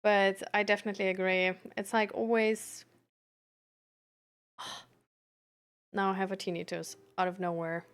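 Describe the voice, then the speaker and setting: Girl streamer just chatting, really unhurried, lots of likes and stop-start phrasing, giggly. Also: medium pitch, steady tone. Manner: halting, giggly, frequent "like" fillers. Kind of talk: casual chat, reading and answering viewer messages.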